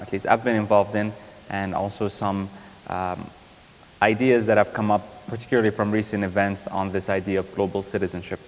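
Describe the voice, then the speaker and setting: A young man from Iraq, a surgical resident giving a university guest lecture in a thoughtful, measured way, often pausing with ums and restarting sentences. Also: lowish voice. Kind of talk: academic lecture. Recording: lecture hall reverb.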